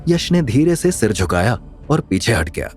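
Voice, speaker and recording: A man's voice: medium pitch, big pitch swings, moderate pace, darkish tone. A man narrating a scripted audio drama in a dramatic storytelling style. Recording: music under the voice.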